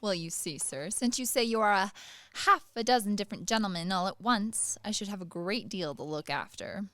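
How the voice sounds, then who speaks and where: A woman's voice: medium pitch, moderate pace, sharp tone, no echo, close to an everyday voice. A woman acting in a radio play as a proud, sly young maidservant, pert and needling under a show of deference. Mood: pert, teasing.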